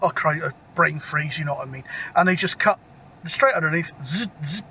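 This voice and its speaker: A man's voice: on the high side, normal speed, sharp tone. A British man in his fifties chatting casually and ramblingly to camera, with mild swearing. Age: 50s. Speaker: CB radio hobbyist, vlogger. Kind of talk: casual rambling vlog chat. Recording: outdoor camera mic with clear background noise.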